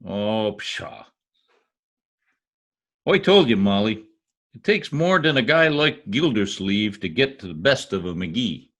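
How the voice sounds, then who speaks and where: A man's voice: fairly low pitch, big pitch swings, slow, long pauses, a bit bright, full character voice. A man playing a blustering, stubborn small-town husband in folksy, slangy old-time radio comedy style. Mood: proud, sheepish.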